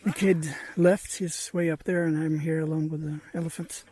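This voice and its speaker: A man from Norway speaking English, giving relaxed, chatty travel commentary to camera outdoors at a jungle camp. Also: fairly high pitch, moderate pace. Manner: relaxed, chatty, thinking aloud. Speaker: travel vlogger.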